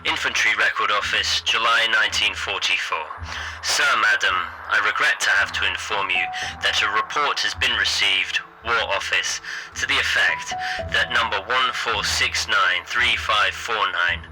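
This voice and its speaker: Low, clipped army radio official. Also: male voice, normal speed, noisy background. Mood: formal, impersonal. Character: stiff, formal male British army official reading dispatches. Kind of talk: formal 1940s military radio dispatch. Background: music.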